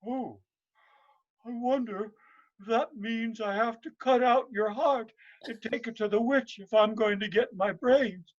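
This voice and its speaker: Folktale simpleton, young male villager played by a man: dim, earnest, halting, emotional; slightly lo-fi sound. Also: very high-pitched, pitched above the performer's own voice. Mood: grief-stricken. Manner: halting, stammering, naive.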